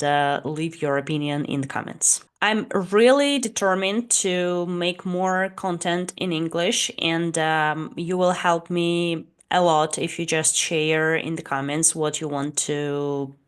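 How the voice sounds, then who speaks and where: A Russian woman in her late twenties who speaks English with a Russian accent and whose native language is Russian, introducing her vlog as an English teacher in a slightly shy, hesitant way with frequent pauses. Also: sits low, clean tone. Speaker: English teacher and channel host. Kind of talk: casual talking-head vlog introduction.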